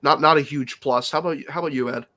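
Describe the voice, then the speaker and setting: A man's voice: a little high, quick pace, pushed and bright. A congested man co-hosting a sports podcast, talking casually with many fillers. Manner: filler-heavy, lots of "like" and "uh". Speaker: podcast co-host.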